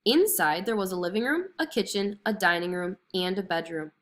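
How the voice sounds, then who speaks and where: A woman reading a children's fairy tale aloud as an expressive storyteller. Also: mid-range voice, normal speed. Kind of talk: expressive scripted story narration.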